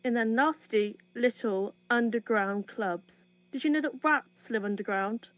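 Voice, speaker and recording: This is a woman acting a scornful, commanding police boss in a dystopian audio drama. Her voice is a little high and full of cold contempt. The recording is slightly dull. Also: a little slow, no room sound, lightly acted. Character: cold, contemptuous female police chief interrogating a prisoner.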